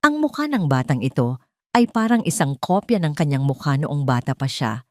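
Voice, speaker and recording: A woman's voice: low voice, very animated, normal speed, dry recording, studio quality. A woman with a Filipino accent narrating a scripted romantic drama in a solemn, melodramatic way. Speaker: storyteller. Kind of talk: scripted dramatic audio love story narration.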